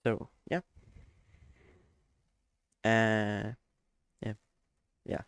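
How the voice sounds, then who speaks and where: Slow, hesitant man. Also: on the low side, flat delivery, fairly bright tone, smooth, no echo. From Denmark. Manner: rambling, hesitant, many fillers. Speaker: flight sim streamer. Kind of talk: live stream gameplay chat.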